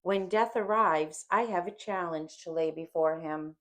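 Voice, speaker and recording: A woman reader voices a crucified man, solemn and defiant, in a dramatic audiobook. He speaks slowly and emphatically, with steady, commanding authority. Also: fairly low pitch. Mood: calm defiance. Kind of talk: dramatic audiobook character reading.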